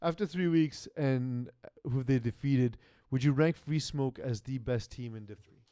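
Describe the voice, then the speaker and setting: A man co-hosting a sports podcast, talking in a casual, animated way with quick asides. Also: mid-range voice, smooth, dry recording, studio quality. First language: not French. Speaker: podcast co-host.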